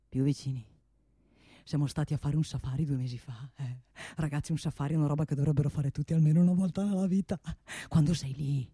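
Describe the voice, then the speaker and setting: Radio theatre: blustering, restless male industrialist, soft dark tone, dry close sound, bossy and repetitive. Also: sits low, breathy edge, no echo. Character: blustering wealthy male gun-factory boss, provincial, restless. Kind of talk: solo stage monologue for radio theatre.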